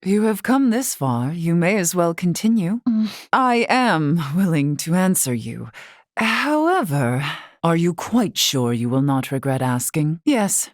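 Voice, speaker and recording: A wise elder woman of a fantasy race, with a fairly low voice and a close, dry sound. She speaks in formal, old-fashioned phrasing, measured and courtly, with a gently teasing temper. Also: sing-song, no echo. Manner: formal, measured, archaic wording. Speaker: voice actress in a video game. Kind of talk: scripted game character dialogue.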